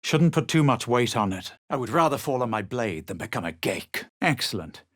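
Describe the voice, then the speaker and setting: A man voicing a self-assured, sardonic male adventurer in a fantasy video game, with a measured, superior delivery. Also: medium pitch, very animated, a bit bright, no echo, studio quality. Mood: dry, superior. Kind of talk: video game voice lines, narration and spell shouts.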